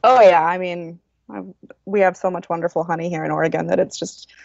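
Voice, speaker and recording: A woman chatting as a podcast guest, talkative and quick to laugh, heard over dull lo-fi audio. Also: fairly low pitch, fairly even intonation, creaky, a little mellow, no echo. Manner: chatty, restarts, laughs easily. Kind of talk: casual interview chat.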